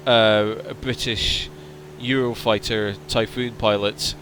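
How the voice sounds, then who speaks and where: A man streaming a flight simulator, chatting casually and answering viewers' questions while his voice starts to strain and go hoarse. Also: lowish voice, fairly even intonation, slow, fairly bright tone, slight background noise. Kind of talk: casual livestream chat.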